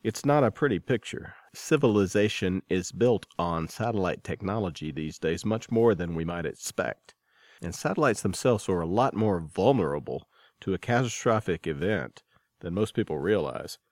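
A man's voice: sits low, lively intonation, normal speed, a little mellow, dry recording, studio quality. A man reading scripted narration as the host and editor presenting a podcast.

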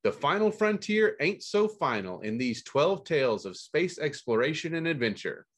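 A man's voice: on the high side, big pitch swings, moderate pace, fairly bright tone. A man sitting in as host of a book podcast, reading scripted news and blurbs with dry, mock-confused humor.

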